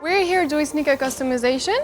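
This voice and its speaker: A woman's voice: high-pitched, fairly quick, faint music behind. A woman artist answering questions in a casual interview. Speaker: sneaker customization artist.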